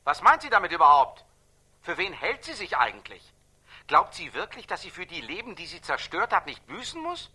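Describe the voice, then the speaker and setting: An indignant male interrogator in a radio play. His voice is very bright and cutting, pitched fairly high for a man. He articulates with care and presses each rhetorical question hard. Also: huge pitch swings, normal speed, breathy edge, heavily acted. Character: indignant, insistent male interrogator probing a terrorist's case. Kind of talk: stylized radio drama dialogue.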